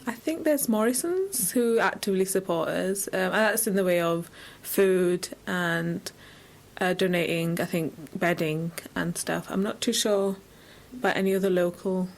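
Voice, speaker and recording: A woman's voice: lowish voice, measured pace. A woman giving informal, explanatory answers as a charity guest in an interview.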